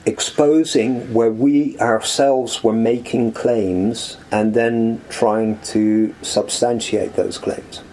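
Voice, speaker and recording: A man talking as a podcast guest in a living room, reflective and halting, with frequent restarts as he thinks aloud. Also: mid-range voice, fairly even intonation, warm tone, low-quality recording. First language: English. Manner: thoughtful, halting, many restarts. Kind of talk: reflective conversational interview.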